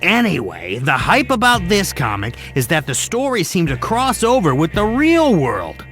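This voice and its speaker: A man playing an excitable, chatty fixer and comic-book buff who rambles through explanations in an animated game cutscene. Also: high-pitched, normal speed, soft background music, full character voice. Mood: eager, gossipy.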